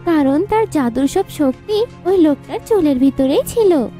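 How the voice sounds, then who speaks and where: A clever young cartoon wife, played by a woman, speaks in an extremely high yet mellow voice. She is confident and defiant, with light music behind her. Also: lively intonation, a little slow. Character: bold, clever young wife defying a sorcerer.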